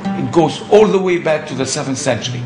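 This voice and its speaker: A man's voice: fairly high pitch, expressive, fairly quick. A man speaking in an explanatory documentary interview in a roomy space. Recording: echoey room, prominent music. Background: plucked guitar music.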